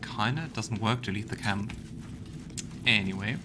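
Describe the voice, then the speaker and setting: Lowish-voiced man doing casual game commentary. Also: a little slow, very noisy. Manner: wry, joking asides. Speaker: let's play host. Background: game ambience.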